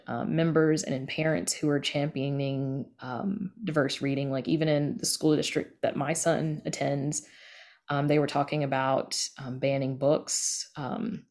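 A woman's voice: low-pitched, darkish tone. An American woman from East Texas speaking casually and emphatically as an interview guest. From East Texas, United States. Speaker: podcast guest, audio drama creator.

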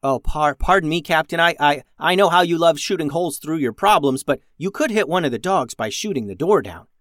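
A man puts on a meek, soft-hearted male crewman for a sci-fi storybook. His voice sits high and swoops around, polite and fussy. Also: huge pitch swings, moderate pace, no echo, studio quality, exaggerated voice. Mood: timid. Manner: stammering, cooing baby talk. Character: timid, polite, gushing male crewman who adores puppies.

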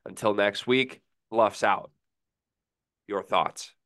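A man co-hosting a casual sports podcast, sick and feeling rough, with dry deadpan teasing. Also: lowish voice, slow, no room sound. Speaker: podcast co-host.

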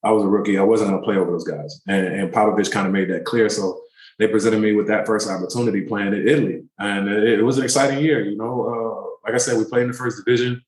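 A man in his thirties, a pro basketball player, telling relaxed, rambling stories in a casual interview. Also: fairly low pitch, quick pace, roomy sound, poor audio. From born in Turkey, raised in the DC area. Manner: relaxed, rambling, many fillers.

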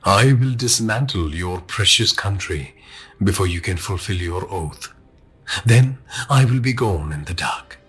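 A man voicing a sadistic male villain in an audiobook, with a silky, husky, slow and taunting menace. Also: low voice, lively intonation, a bit bright, soft background music, voice pushed down, heavily acted. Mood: gloating. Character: smooth-talking sadistic male villain taunting over a radio.

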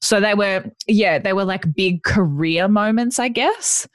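A woman with a deep voice, chatting as a podcast guest and telling self-deprecating stories. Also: a little slow, no room sound. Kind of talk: casual chat.

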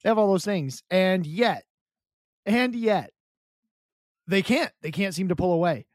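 Chatty, higher-voiced podcast co-host. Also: male voice, unhurried, dry recording, clean studio sound. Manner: chatty, animated, repeats words and restarts often. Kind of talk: casual sports chat.

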